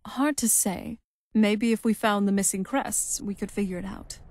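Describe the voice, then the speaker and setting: A woman's voice: medium pitch, steady tone, moderate pace, a little mellow, dry recording, close to an everyday voice. An actress playing a wistful, young-looking vampire noblewoman in a video game, speaking in a conversational way. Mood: reflective. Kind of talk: video game character dialogue.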